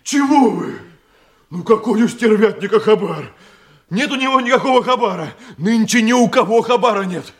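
A man's voice: sits high, expressive, normal speed. An actor playing a cringing, fawning underworld henchman in a Russian radio play, mumbling and stammering servilely before his boss. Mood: frightened, pleading.

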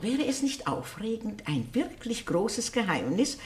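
An actress playing a shrewd, inquisitive elderly spinster sleuth in a radio drama, gossipy and slyly probing. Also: female voice, a little high, sing-song, unhurried. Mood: sly, self-assured.

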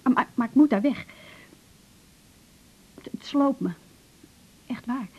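An actress playing a depressed, pleading woman patient in a radio drama, halting and stammering in short broken phrases. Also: breathy edge, highs cut off, heavily acted. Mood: anxious, pleading. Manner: halting, stammering, repeats words, breaks into short fragments. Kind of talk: naturalistic 1980s Dutch radio drama acting. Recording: noisy older radio recording with rumble.

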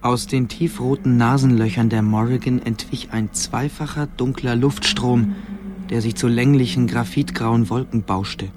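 Guy narrating a radio play story, mid pitch, bit of ambience behind. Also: steady tone, unhurried. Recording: clear background ambience noise.

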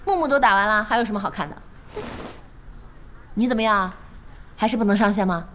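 A woman playing a feisty, blunt young esports fan in an audio drama, outspoken and quick to complain. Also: mid-range voice, fairly bright tone, a little hiss or hum, natural voice. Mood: casual curious. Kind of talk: Chinese audio drama acting.

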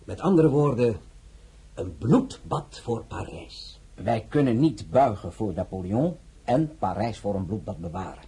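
A formal government envoy who speaks stiffly and insistently. His voice is mid-pitched, dark and mellow, with a very husky texture. He presses each point with care. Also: very animated, unhurried, exaggerated voice.

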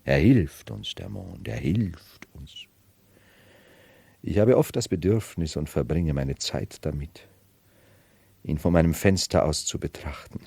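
A man's voice: extremely low pitch, measured pace, creaky, pauses often, dark tone, husky, no echo, exaggerated voice. An actor playing a brooding nobleman who feigns madness as a medieval emperor, theatrical and mercurial, in a 1970s radio play. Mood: musing, dreamy. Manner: theatrical, mercurial, dwelling on words then bursting out.

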